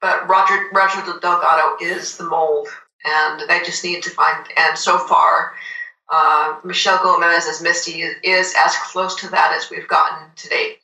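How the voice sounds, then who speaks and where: Very bright voice, echoey and distant. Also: medium pitch, flat delivery, moderate pace, gravelly. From United States. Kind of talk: casual fan chat.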